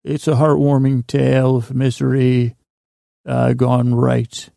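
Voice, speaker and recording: A man hosting a podcast with a meandering, halting bedtime monologue that keeps drifting off track, in a gravelly, creaky voice. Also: mid-range voice, monotone, warm tone, no room sound, clean studio sound. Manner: meandering, halting, digressive, loses his thread. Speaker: podcast host. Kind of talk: rambling bedtime monologue meant to help listeners sleep.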